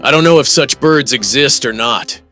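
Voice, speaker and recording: A man's voice: medium pitch, normal speed, sharp tone, faint music behind, close to an everyday voice. A man voicing a bumbling, boastful henchman who blusters and gets defensive.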